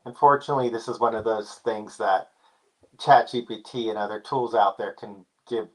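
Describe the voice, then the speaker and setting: Relaxed man explaining as a guest expert. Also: on the low side, moderate pace, low-quality recording. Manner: relaxed, explanatory, many "I mean" and "you know" fillers. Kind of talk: casual conversational interview.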